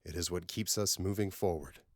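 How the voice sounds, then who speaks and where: Man reading as serene alien woman, intuitive, formal, reassuring, low pitch, dry sound. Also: normal speed, no room sound.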